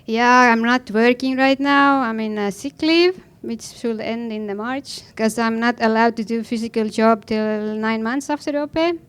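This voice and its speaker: Clear-voiced woman with an Estonian accent. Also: on the high side, normal speed, hardly any pauses. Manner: blunt, informal, non-native phrasing. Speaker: panel guest, professional snowboarder. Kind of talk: conversational personal account on a panel.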